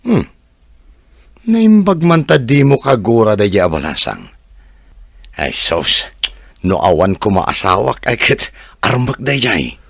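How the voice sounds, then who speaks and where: Casting: actor as teasing young male laborer, low gravelly voice, laid-back, audio drama. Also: big pitch swings, slow, pauses often, fairly bright tone, no echo, studio quality, heavily acted. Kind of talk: audio drama acting in casual dialogue.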